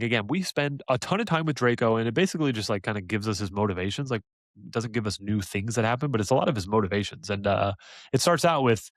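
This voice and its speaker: A man co-hosting a casual podcast, animated and laughing often, trailing off into fillers. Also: lowish voice, dry recording. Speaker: podcast co-host.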